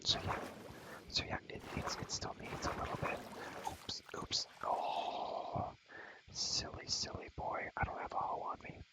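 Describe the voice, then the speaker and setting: A young man whispering in a casual ASMR game tour, breathy and slangy, softly repeating his phrases. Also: normal speed, rough recording. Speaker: video creator showing a game world.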